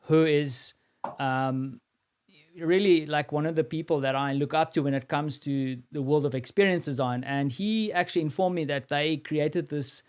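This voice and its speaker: A man's voice: a little high, normal speed, clean tone. A man with a South African accent hosting a live stream, talking casually and rambling with many fillers and restarts.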